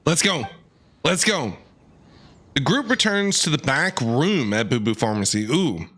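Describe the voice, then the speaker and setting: A man giving casual reaction commentary as a game streamer, excitable, repeating words and exclaiming. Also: fairly high pitch, unhurried, slight background noise.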